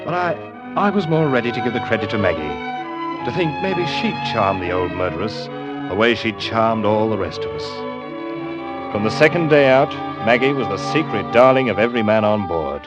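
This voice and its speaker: Music plays strongly behind a weathered seaman in an old-time radio drama. His voice is low, and he tells his story as a watchful witness, dramatically and deliberately, letting pauses carry weight. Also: sing-song, muffled recording. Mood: fond, proud. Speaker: narrator and character actor. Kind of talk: classic radio drama first-person narration.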